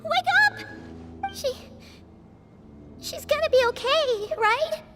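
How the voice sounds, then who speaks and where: A woman voicing a tiny, childlike, anxious companion character in a video game, squeaky and exclaiming. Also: steady tone, measured pace, very noisy. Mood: worried.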